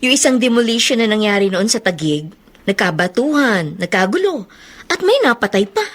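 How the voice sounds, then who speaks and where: An actress playing a polite, earnest young daughter-in-law in a radio drama. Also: female voice, fairly high pitch, sing-song, normal speed. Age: young adult. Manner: respectful, earnest. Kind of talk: Filipino radio drama acting.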